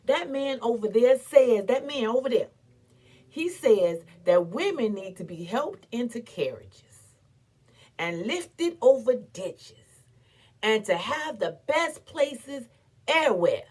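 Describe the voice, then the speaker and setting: A woman giving a dramatic, theatrical recitation as an impassioned, defiant, weary but proud orator, with emphatic repetition and long pauses. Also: on the high side, expressive, a little mellow, slightly rough. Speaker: performer reciting a historical speech.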